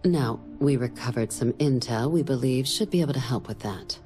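An actress playing a composed woman diplomatic official in a game, giving a briefing in a measured, formal, dry way. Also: rumbling low, warm tone, soft background music. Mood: businesslike. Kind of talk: scripted game dialogue, mission briefing.

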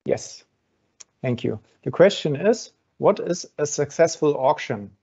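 Gravelly man answering webinar questions. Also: a little high, expressive, measured pace, a little mellow. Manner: explains step by step with frequent fillers. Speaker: webinar host from an exchange. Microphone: video call. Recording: dull lo-fi video call audio.

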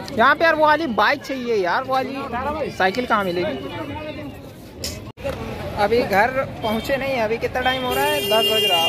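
A young man filming a casual vlog on a phone held at arm's length, chatting and teasing his friend in slangy banter as he walks through city streets and markets. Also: high voice. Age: young adult. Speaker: vlogger. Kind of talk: casual handheld travel vlog. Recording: phone recording with loud crowd. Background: crowd noise.

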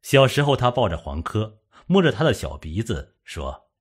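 A man narrating a suspense novel as an audiobook, in a measured, dramatic storytelling manner. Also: lowish voice, big pitch swings, slightly rough.

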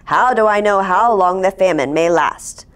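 A woman's voice: mid-range voice, moderate pace, natural voice. A woman reading the part of a selfish, pompous male king in a storybook, in a declaratory manner. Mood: defiant, selfish.

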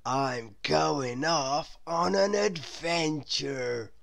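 A woman voicing a friendly, adventurous male cloud creature in a children's storybook, with drawn-out, inviting words. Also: low-pitched, gravelly, full character voice. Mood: dreamy, inviting.

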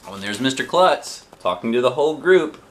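A man reading a children's book aloud in an expressive, playful way, with chatty asides. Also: medium pitch, a little fast. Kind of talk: animated storybook read-aloud with chatty asides. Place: living room sofa, indoors. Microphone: camera a short distance away.

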